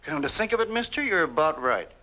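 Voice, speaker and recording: An actor playing an older, folksy and defensive family servant in a 1940s film, with rustic, ungrammatical speech. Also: male voice, fairly high pitch, very animated, fast talker, sharp tone, muffled recording. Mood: conceding. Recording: vintage 1940s film soundtrack.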